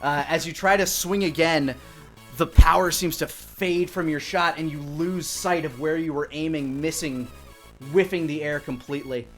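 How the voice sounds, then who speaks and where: A man in his thirties with a slightly high voice runs a tabletop role-playing game. He narrates the action descriptively and dramatically, close on the mic, with music behind him. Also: steady tone, normal speed. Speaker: dungeon master, host.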